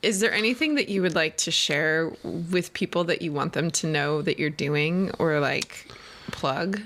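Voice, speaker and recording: A woman's voice: low-pitched, measured pace, a bit bright. A woman hosting a podcast in casual chat, playful and loose, peppering her talk with "like". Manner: playful, loose, uses "like" heavily. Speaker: podcast host.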